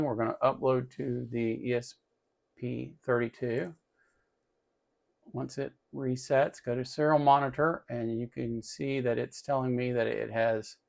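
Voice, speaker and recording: Man with a creaky voice explaining tech. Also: medium pitch. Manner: explains step by step while working, repeating himself. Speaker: electronics tutorial host. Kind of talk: casual unscripted hands-on software tutorial.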